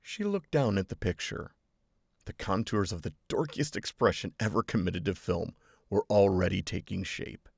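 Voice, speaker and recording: A narrator reading a story in measured, husky tones. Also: deep voice, lively intonation, no echo, studio quality. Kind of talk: scripted narration.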